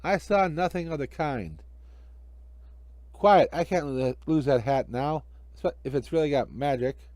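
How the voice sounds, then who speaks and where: A man's voice: on the high side, unhurried, darkish tone, dry recording, lightly acted. A man voicing a vain, greedy, grouchy stage magician in a story, with a theatrical, scolding bluster.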